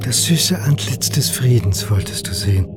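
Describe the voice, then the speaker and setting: An actor playing the solemn, fatherly spirit of a medieval Dominican mystic in a radio drama, speaking in a measured, prophetic way. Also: medium pitch, animated, lightly acted. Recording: music behind the voice. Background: music.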